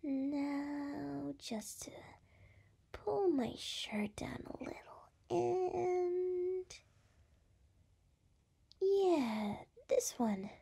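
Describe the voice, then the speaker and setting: Woman acting a slow, breathy, brash young gal. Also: high voice, warm tone, heavily acted. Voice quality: breathy, often dropping into whispers. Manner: halting, teasing, exasperated. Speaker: voice actress. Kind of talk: ASMR roleplay.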